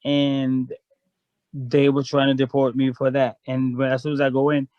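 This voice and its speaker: Flat-voiced man telling a frank story. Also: mid-range voice, moderate pace, no room sound, muffled recording. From Guyana. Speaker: guest. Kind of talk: casual chat.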